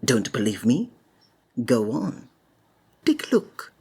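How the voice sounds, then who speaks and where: A woman playing a flamboyant, sinister male stage magician in an ASMR roleplay, with breathy, honeyed, flowery theatrical speech that often drops into a whisper. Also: extremely low pitch, very animated, slow, dark tone, heavily acted. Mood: showy, silky.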